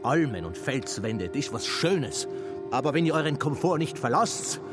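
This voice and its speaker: Radio drama: stubborn Tyrolean mountaineer played by a male actor, blustery and highly animated, music loud underneath. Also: normal speed, lightly acted. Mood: rapturous. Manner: theatrical, blustering, earthy. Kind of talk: radio play monologue.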